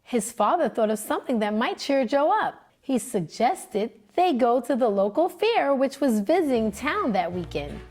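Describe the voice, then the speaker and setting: A woman librarian reading a children's picture book aloud with animated, expressive storytelling. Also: a little high, normal speed, few pauses. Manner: animated, expressive, sing-song narration.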